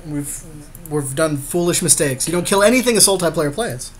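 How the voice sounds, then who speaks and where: A man's voice: fairly high pitch, expressive, normal speed. A man co-hosting a podcast, chatting casually and agreeably as he advises on a card game. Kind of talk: casual chat. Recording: clear background noise.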